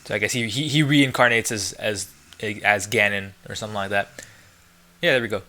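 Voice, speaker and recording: A man's voice: on the low side, a little fast, pushed and bright. A man doing casual, sweary gameplay commentary as a let's player.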